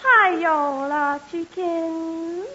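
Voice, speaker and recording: An actress playing an excitable, exclamatory female character in a 1940s radio family comedy, with theatrical delivery. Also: very high voice, drawn-out words, heavily acted. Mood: excited. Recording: muffled, noisy 1940s radio broadcast.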